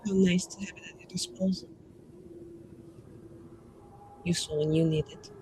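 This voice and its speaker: A man's voice: fairly high pitch, a little slow, a little mellow. Man playing a proud, embittered mage, faint noise. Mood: sardonic. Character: proud, embittered male mage, defiant and formal.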